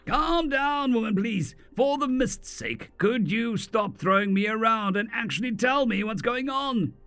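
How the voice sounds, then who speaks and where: A man's voice: fairly high pitch, sing-song, normal speed, gravelly, fairly bright tone, faint music behind, voice pushed up, full character voice. A man voicing a nervous, exasperated male shopkeeper enchanter.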